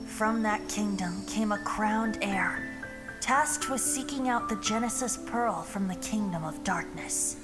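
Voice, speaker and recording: A woman playing a solemn storyteller, delivering deliberate scripted dramatic narration of a fantasy legend. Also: mid-range voice, close to an everyday voice. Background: loud music.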